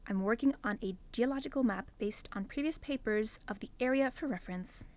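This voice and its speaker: A woman's voice: on the high side, brisk, a little mellow, lightly acted. A woman playing a studious, easily annoyed young geologist in a student audio drama, curt and biting. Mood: dismissive.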